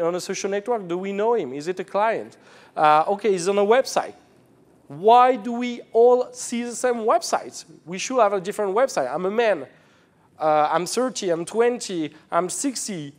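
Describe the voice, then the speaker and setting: A man giving a conference keynote in a string of rhetorical questions. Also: high-pitched. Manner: string of rhetorical questions, non-native phrasing. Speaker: keynote speaker.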